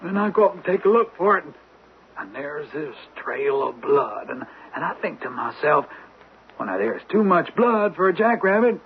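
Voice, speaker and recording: A man's voice: on the high side, sing-song, moderate pace. A man in a radio drama playing a folksy, rambling American detective who poses as an affable hunter. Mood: rambling, uneasy. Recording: thin phone-like old radio, noisy.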